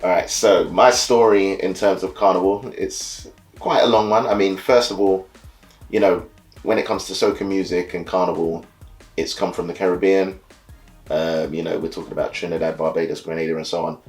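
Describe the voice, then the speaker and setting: Deep-voiced man, relaxed carnival talk. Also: normal speed, soft background music. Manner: relaxed, easygoing, lots of "you know" and "so yeah". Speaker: carnival band leader and soca DJ. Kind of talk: casual explainer talk to camera.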